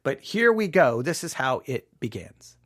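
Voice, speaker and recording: A man hosting an informal personal commentary, speaking emphatically in a halting, repetitive way. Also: mid-range voice, big pitch swings, a bit bright. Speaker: podcast host.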